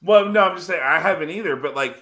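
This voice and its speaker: A man hosting a casual wrestling panel discussion, talking in a halting, conversational way and often repeating himself. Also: on the high side, pushed and bright. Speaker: wrestling podcast host.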